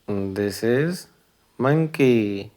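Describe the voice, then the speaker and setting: Slow-talking low-voiced man teaching small children. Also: expressive, darkish tone. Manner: short simple phrases with pauses, prompting listeners. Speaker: presenter of a children's learning video. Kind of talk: simple educational narration for young children, asking questions.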